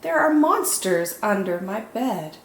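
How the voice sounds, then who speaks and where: In an echoey room with faint noise behind her, a woman reads a picture book aloud for young children. Her pitch sits in the middle for a woman. She tells the story expressively and deliberately, stretching out words for dramatic emphasis. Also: very animated. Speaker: children's storytime host reading picture books.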